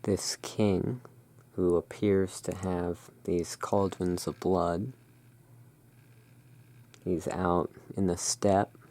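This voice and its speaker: An ASMR host in a gothic shopkeeper roleplay, musing in an unhurried, gently whimsical way while commenting on cards. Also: low voice, flat delivery. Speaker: host playing a gothic curiosity shop owner.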